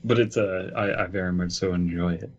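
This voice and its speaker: A man's voice: deep voice, steady tone, a little fast. A man co-hosting a podcast in casual, joking chat, on a slightly dull lo-fi microphone. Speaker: podcast co-host.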